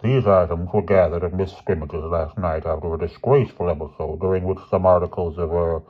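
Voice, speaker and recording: A man's voice: deep voice, fairly even intonation, fairly quick, phone-quality sound. A man voicing a stern middle-aged headmaster in a children's audiobook, speaking with dry, formal authority. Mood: grim, stern. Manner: grim, formal, clipped authority. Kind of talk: children's novel audiobook character voice.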